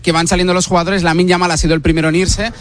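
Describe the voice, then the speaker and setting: A man's voice: high-pitched, flat delivery, very fast, a little hiss or hum. A man reporting live from the street outside a stadium, narrating what he sees and talking to fans. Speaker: field reporter.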